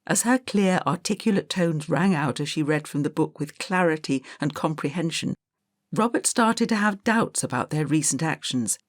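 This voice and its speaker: Woman, audiobook narrator, measured storytelling, lower voice, dry close sound. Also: expressive, no echo.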